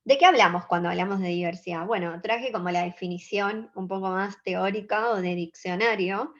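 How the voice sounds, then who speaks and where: A woman in her twenties with an Argentine accent, giving an earnest, measured conference talk and checking in with her audience. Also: medium pitch, a bit bright, dull audio. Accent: Argentine Spanish. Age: 20s. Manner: earnest, measured, engages the audience with short questions. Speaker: conference speaker.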